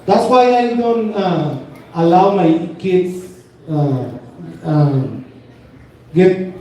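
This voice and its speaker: A man's voice: high-pitched, animated, very slow, mellow. A Mexican-born man, a native Spanish speaker, doing a stand-up comedy set in broken English mixed with Spanish slang, self-mocking. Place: comedy club stage. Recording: echoey room from far off, crowd behind.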